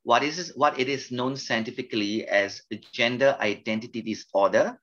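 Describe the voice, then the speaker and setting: A man in his fifties from Malaysia with a Malaysian accent, a pastor telling his life story gently in a podcast interview. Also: mid-range voice, moderate pace, dull audio.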